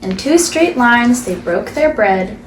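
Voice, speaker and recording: A woman's voice: a little high, animated, slow pace, roomy sound, distant mic, noisy background. A woman storyteller hosting a read-aloud show, reading a picture book expressively for children.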